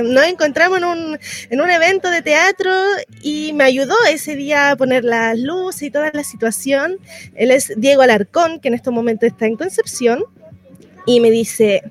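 Chilean woman, high voice, theatre guest, casual radio interview, meandering conversational delivery.

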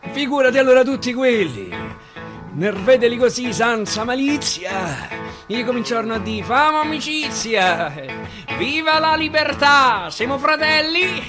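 A man with a Roman accent reciting dialect verse theatrically, swinging from loud declaiming to a hushed whisper. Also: high voice. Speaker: actor reciting dialect poetry. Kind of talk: theatrical poetry recitation for radio. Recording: live guitar accompaniment.

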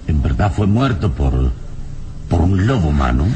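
A man acting a calm, commanding adventurer hero in a radio drama, questioning in a measured way with dramatic pauses. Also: a bass voice, heavy background noise, full character voice. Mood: grave, probing. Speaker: hero and narrator.

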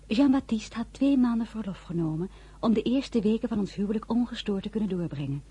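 A woman's voice: mid-range voice, warm tone, husky. A woman narrating a literary story in the first person, composed and scripted.

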